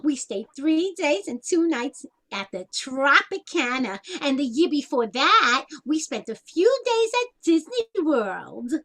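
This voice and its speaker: A woman's voice: high voice, big pitch swings, a little slow, hardly any pauses, fairly bright tone, exaggerated voice. A woman playing a blunt, unpolished older single aunt in a comic one-act play, wry and plain-spoken, with colloquial grammar. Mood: chatty. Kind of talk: one-act stage comedy acting.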